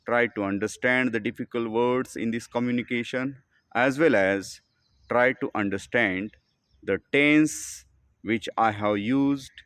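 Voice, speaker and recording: A man with an Indian accent giving a spoken English lesson. He talks in slow, simple, deliberate sentences and often calls the listener "my dear friend.". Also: mid-range voice, a bit bright, smooth, no echo, clean studio sound. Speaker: English teacher.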